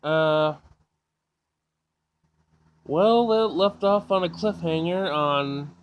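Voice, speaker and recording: A man's voice: on the high side, drawn-out words, clean tone, muffled recording. A man hosting a casual gaming video, reading game text aloud informally and stumbling over words, with game music behind him.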